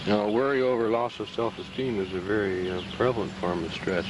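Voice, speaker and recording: Low-voiced man over noisy old outdoor recording. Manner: halting, with frequent throat clearing. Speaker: interviewee explaining a subject. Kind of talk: informal explanatory talk. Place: outdoors beside a pond. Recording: noisy 1970s reel-to-reel video, outdoor noise.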